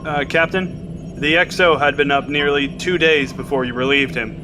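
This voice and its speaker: A man's voice: on the high side, fairly even intonation, moderate pace, noisy background, lightly acted. An actor playing a loyal, exhausted submarine sailor who speaks respectfully to his captain. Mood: dutiful. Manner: respectful, dutiful, frequent "sir". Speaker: sailor, coxswain. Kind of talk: audio drama acting.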